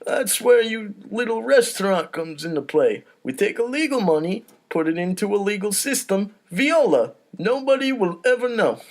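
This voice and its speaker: Man putting on a high, blustering mafia Don. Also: expressive, normal speed, hardly any pauses. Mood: smug, menacing. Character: mafia Don, blustering boss with cotton-stuffed mouth.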